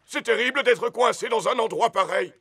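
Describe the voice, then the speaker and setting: A man's voice: high voice, normal speed, pushed and bright. An actor voicing a boastful, greedy old male rabbit in a cartoon, hearty and bluff. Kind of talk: cartoon voice acting.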